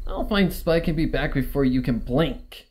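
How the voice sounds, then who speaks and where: A man's voice: fairly high pitch, moderate pace, darkish tone, close to an everyday voice. Man voicing a brash, pushy young female cartoon pony. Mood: stubborn, brash. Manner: blunt, insistent.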